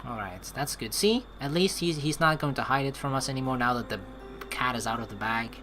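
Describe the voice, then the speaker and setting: A streamer talks through a story game in a casual, conversational register. The delivery rambles as thoughts are worked out aloud, at a medium pitch. Game music plays softly behind the voice. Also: a little fast. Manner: rambling, conversational, thinking aloud, mild swearing. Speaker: let's play streamer. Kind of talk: casual gameplay commentary mixed with reading game text aloud.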